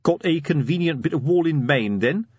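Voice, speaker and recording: A man plays a gloomy veteran sergeant from a fantasy storybook. He grumbles ponderously in a husky, animated voice. The recording is very dry. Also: a little high, normal speed, dry recording, lo-fi sound, pitched above the performer's own voice. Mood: doubtful.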